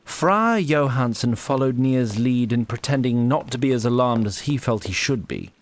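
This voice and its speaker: Measured male fantasy narrator, mid-pitched. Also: lively intonation. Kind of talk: scripted fantasy story narration.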